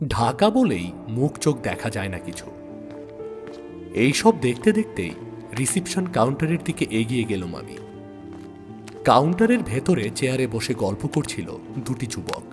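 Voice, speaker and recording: A man narrating a suspense story in the first person, with measured, dramatic delivery and deliberate pauses. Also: medium pitch, sing-song. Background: background music.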